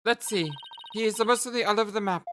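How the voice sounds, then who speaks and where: A woman reading a calm, soothing young counselor. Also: mid-range voice, racing, background noise, pitched above the performer's own voice, natural voice. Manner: soothing, guiding. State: stuffy nose. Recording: game music behind the voice.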